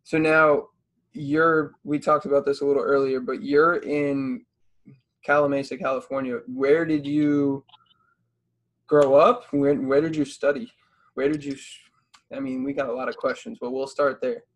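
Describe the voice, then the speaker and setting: Man, early twenties, American accent, medium pitch, informal interviewer, slangy bro talk. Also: level tone, normal speed. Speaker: podcast host. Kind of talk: casual conversational interviewing.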